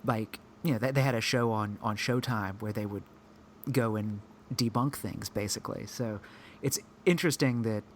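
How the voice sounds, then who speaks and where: A man co-hosting a podcast, talking informally and a little haltingly in casual discussion. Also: lowish voice, a little hiss or hum. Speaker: podcast co-host.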